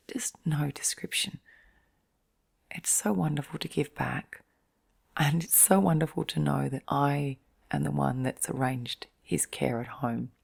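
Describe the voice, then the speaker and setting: A middle-aged woman in a soft, whispery, breathy voice, giving a gentle, reflective bedtime chat in an intimate ASMR-like style. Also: deep voice. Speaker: host of relaxation and sleep channel.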